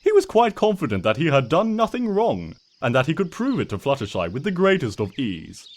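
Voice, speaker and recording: A man's voice: on the high side, wildly swooping pitch, a little fast. A man voicing a smug, mock-innocent trickster creature who narrates a comic storybook tale in a pompous, wry, theatrical way.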